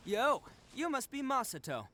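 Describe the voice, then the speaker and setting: A swaggering young oni, swooping voice. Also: male voice, extremely high pitch, very animated, normal speed, pushed and bright, slight background noise, full character voice. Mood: confident, casual. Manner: swaggering, emphatic, slangy. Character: brash, big-hearted young male oni gang leader. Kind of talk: English video game voice acting.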